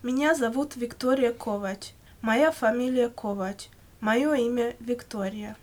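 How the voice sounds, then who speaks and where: A woman reading scripted answers for a language lesson in measured, complete sentences. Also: fairly high pitch. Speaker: language-lesson model speaker answering questions. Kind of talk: scripted language-lesson dialogue, read aloud.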